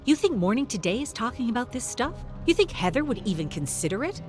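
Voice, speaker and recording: A woman plays a talk-show host in a dramatic story, confident and defiant. Her delivery is polished and passionate, swinging widely in pitch over a music bed. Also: sing-song, fast talker. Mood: determined. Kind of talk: dramatic audiobook character voice.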